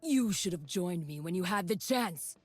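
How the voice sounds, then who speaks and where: A woman's voice: on the low side, moderate pace, husky, dry recording, faint music behind. A woman voicing a merciless, commanding female warlord of a frozen tribe in a video game. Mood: fierce. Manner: commanding, stern, monotone when not shouting. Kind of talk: video game character voice acting.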